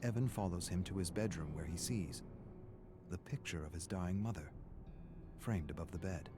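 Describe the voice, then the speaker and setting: An actor playing a grim storyteller, reading a dark family tale for a video game in a solemn, deliberate, menacing way. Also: male voice, low voice, noisy background, close to an everyday voice. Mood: somber. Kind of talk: dramatic scripted third-person narration.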